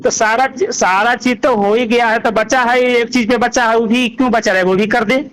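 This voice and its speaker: A man's voice: very high voice, fairly even intonation, moderate pace, a bit bright, low-quality recording. A man with an eastern Indian Bhojpuri-belt accent answering as a singer in an informal interview, emphatic and repeating himself. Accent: Bhojpuri-Magahi belt Hindi, eastern India.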